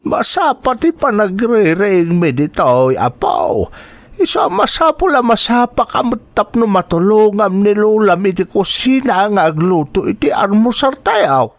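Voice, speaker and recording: A man's voice: high-pitched, very animated, unhurried, no echo, full character voice. A man playing a strict old grandfather in a radio drama, speaking with an Ilocano accent and an admonishing, instructive manner. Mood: firm, instructing. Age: older. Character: strict, hardworking old grandfather in a rural household. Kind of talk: Filipino radio drama acting.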